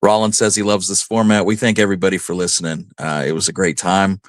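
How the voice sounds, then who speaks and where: A man's voice: low voice, little pitch movement, fast talker, dry recording, clean studio sound. A man co-hosting a live show in casual chat, with an easygoing, folksy way of addressing listeners. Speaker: co-host reading out listener comments.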